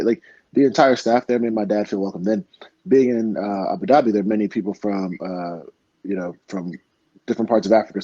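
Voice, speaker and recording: A man's voice: on the low side, warm tone, dry recording, dull audio. A young man from Florida telling earnest, heartfelt stories in a casual interview, full of fillers and restarts. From Florida, United States. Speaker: MMA fighter guest.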